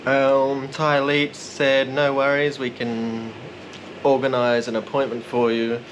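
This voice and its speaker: A man narrating a casual vlog in a relaxed, rambling, self-deprecating way. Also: mid-range voice, a little slow, highs cut off, a little hiss or hum. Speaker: vlogger.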